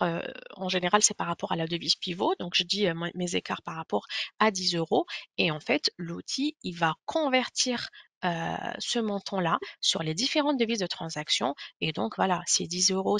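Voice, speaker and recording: A woman giving an explanatory software webinar walkthrough, speaking hesitantly with frequent pauses. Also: mid-range voice, creaky, no room sound, studio quality.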